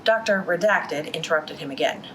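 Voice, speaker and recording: A young woman reading a novel aloud at a library storytime, in an even reading voice with quick restarts after slips. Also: low voice, a little hiss or hum, low-quality recording.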